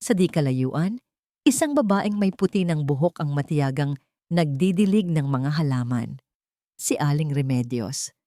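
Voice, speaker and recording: A woman's voice: low voice, lively intonation, unhurried, mellow, no echo, clean studio sound. A woman with a Filipino accent reading a scripted, dramatic love story as its narrator.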